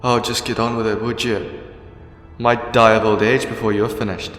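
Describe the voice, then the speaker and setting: A man acts a defiant, world-weary hero of a sci-fi audio drama. His sarcasm is dry and exasperated, the voice on the low side, ringing with reverb over music. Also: flat delivery, moderate pace, fairly bright tone, lightly acted. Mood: dry defiance. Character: sardonic, defiant, ancient male time-traveller hero, captive. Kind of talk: fan audio drama acting. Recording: echoey long reverb, music behind.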